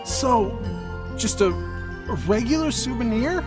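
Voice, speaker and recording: A man's voice: very high-pitched, slow pace. A man playing a nervous, shifty traveling merchant in an anime-style video game, hesitant and easily flustered. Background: game music.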